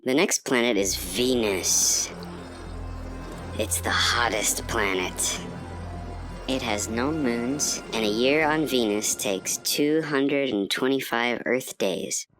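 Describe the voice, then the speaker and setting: A quirky little cartoon astronaut , as a woman voices it, tours the planets like a student on vacation. The voice sits remarkably deep and takes its time, breaking into sudden high, squeaky exclamations. Music plays clearly underneath. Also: slow pace, a bit bright, heavily acted, poor audio. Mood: cheerful. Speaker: guide narrator. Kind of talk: animated educational cartoon.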